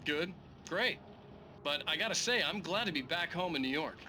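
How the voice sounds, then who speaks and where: An actor playing a wry, self-assured bestselling novelist, dry and flippant as a talk show guest. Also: male voice, on the high side, expressive, normal speed, heavy background noise, close to an everyday voice. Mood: sheepish, joking.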